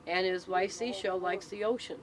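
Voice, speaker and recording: A woman's voice: fairly even intonation, normal speed, a crowd in the background. A middle-aged woman chatting casually, explaining things in an anecdotal storytelling way. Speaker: interviewee, CB club member. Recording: noisy amateur recording with vehicle rumble.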